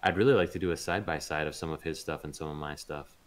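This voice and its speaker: A man chatting casually as a voice actor being interviewed, thoughtful and halting as he searches for words. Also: a bass voice.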